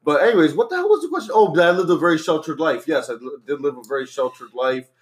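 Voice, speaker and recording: A man's voice: fairly high pitch, expressive, quick pace. A man in his thirties from the Dominican Republic, a guest telling stories in casual podcast chat, rambling with many fillers and restarts and laughing often.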